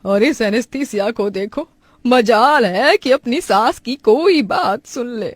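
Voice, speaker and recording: Actress as cartoon mother-in-law, middle-aged Indian, high voice, nagging and dramatic, dry close sound. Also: animated, slow pace, no room sound. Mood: grumbling. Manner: complaining, dramatic exclamations. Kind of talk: Hindi cartoon family drama dubbing.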